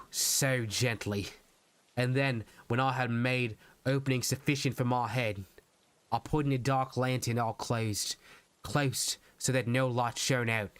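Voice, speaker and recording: A man voicing an unhinged, proud murderer in a Gothic horror monologue, speaking in a theatrical, deliberate way with emphatic pauses. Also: mid-range voice, clean tone, no echo, close to an everyday voice. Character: unhinged murderer narrator, proud, insisting he is sane. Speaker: VTuber practicing voice acting.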